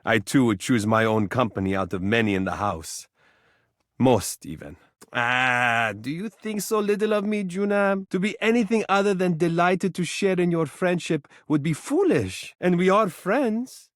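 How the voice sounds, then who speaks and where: A man's voice: a little high, expressive, normal speed, dry recording, studio quality, lightly acted. A man voicing a vain, adoring mirror in a video game, speaking in flowery, formal, dramatic phrases. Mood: adoring, smug, then friendly, playful. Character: vain, romantic, adoring living mirror, theatrical and tender. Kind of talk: video game character voice acting.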